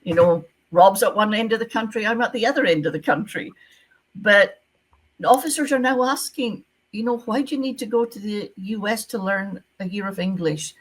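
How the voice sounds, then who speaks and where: A woman's voice: mid-range voice, moderate pace, low-quality recording. A retired woman from Scotland with a Scottish accent, a former visa officer giving emphatic advice in an interview over a video call. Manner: emphatic, repeats key advice.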